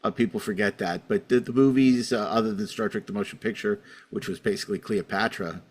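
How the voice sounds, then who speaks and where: A man's voice: on the low side, a little fast. A man hosting a casual panel podcast, wordy and opinionated, with dry asides as he moderates. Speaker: podcast host.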